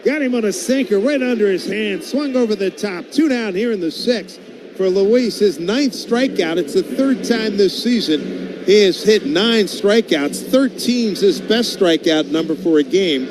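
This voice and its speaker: A man's voice: high-pitched, lively intonation, unhurried. A man doing live radio baseball play-by-play as the announcer, in colloquial, clipped phrases. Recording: loud ballpark background noise. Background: outdoor stadium ambience.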